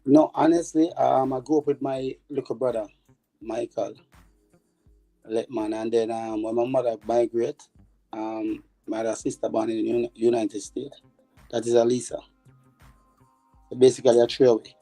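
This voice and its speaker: A Jamaican man with a very dark, mellow voice answers interview questions, stumbling over repeated words as he recalls. Faint music runs underneath. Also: mid-range voice, level tone, moderate pace, pauses often, poor audio. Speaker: former footballer guest.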